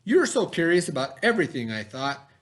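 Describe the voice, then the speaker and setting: Animated, high-pitched male teacher reading aloud. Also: a little fast. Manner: emphatic, repeats and explains key words for children. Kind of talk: expressive educational read-aloud with explanatory asides.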